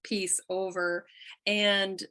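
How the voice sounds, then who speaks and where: A woman's voice: mid-range voice, little pitch movement, extremely slow, bright, forceful tone. A middle-aged woman talking as a podcast guest in a casual conversation, chatty and candid, often trailing off mid-thought. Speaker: guest, quilt artist.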